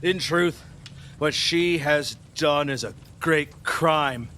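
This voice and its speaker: A man's voice: a little high, slow, sharp tone. A man playing an imperious, superstitious and appalled king, declaiming in a theatrical classical audio drama. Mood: appalled, grave. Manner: declamatory, commanding.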